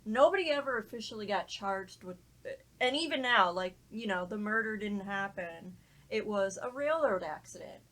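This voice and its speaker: A woman's voice: medium pitch, lively intonation, moderate pace, fairly bright tone, low-quality recording. A woman in casual podcast conversation, telling stories informally with some swearing. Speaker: podcast panelist. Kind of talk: casual chat, anecdote telling.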